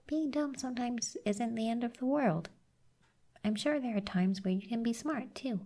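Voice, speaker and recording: A woman voicing a teasing but caring young cactus girl in an ASMR roleplay, soft and slightly husky, blunt yet encouraging. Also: a little high, normal speed. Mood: reassuring.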